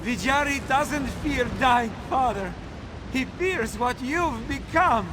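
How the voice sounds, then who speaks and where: Man acting a high-pitched, sorrowful young cat-man. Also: animated, slow pace, background noise, full character voice. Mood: sad defiance. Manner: earnest, speaks of himself in third person. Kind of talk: dramatic fantasy game dialogue.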